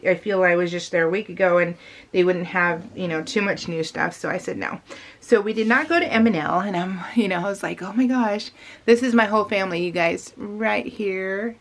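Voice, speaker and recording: A woman's voice: medium pitch, fairly bright tone, a little hiss or hum. A middle-aged woman vlogger chatting casually in a rambling, emphatic, confiding way. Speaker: craft vlogger. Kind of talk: casual chat.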